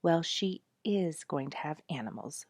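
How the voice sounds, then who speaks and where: A woman's voice: sits low, lively intonation, a little slow, no echo, heavily acted. A woman storyteller voicing an emotional, self-deprecating and devoted mother in an earnest, dramatic way. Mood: determined.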